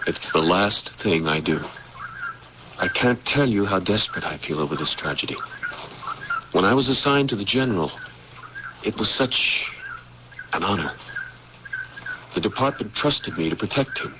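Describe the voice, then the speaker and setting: An actor playing an earnest, dutiful military security officer in an old-time radio drama, solemn, formal and emphatic. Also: male voice, fairly low pitch, animated, normal speed, pauses often, lightly acted. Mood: anguished, indignant. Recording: dull, noisy old radio recording.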